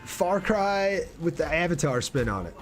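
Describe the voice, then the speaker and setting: A man's voice: on the high side, very animated, normal speed, soft background music. A man doing casual live gameplay commentary and chatting with viewers in a laid-back, slangy way. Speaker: game streamer.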